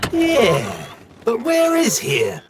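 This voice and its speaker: A woman playing a blunt, earnest, slow-witted thug in a cartoonish heist audio drama. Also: mid-range voice, wildly swooping pitch, slight background noise, heavily acted. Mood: puzzled. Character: dim-witted, good-natured thug of a heist crew.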